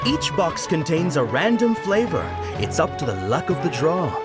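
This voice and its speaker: A woman's voice: low voice, very animated, normal speed. A woman voicing a cheerful, friendly candy vendor in a video game, with upbeat sales patter. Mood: upbeat, helpful. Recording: loud background music.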